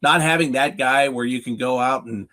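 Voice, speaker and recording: A man's voice: mid-range voice, moderate pace, pushed and bright. Emphatic North American man talking sports. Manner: emphatic, asks and answers own questions. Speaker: hockey play-by-play announcer as guest analyst. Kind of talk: unscripted sports talk, analysis.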